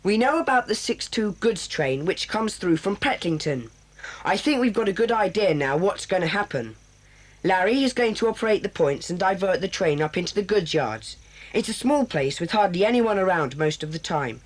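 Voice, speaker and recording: A man's voice: high voice, animated, moderate pace, poor audio. An actor playing an earnest boy who leads a children's club in a radio drama, laying out plans with confidence. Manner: earnest, planning, in charge.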